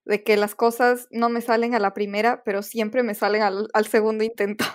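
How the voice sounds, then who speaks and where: A woman's voice: a little high, little pitch movement, moderate pace. Giggly young woman co-host. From Ecuador. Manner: giggly, chatty, frequent fillers. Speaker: podcast co-host. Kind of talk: casual chat.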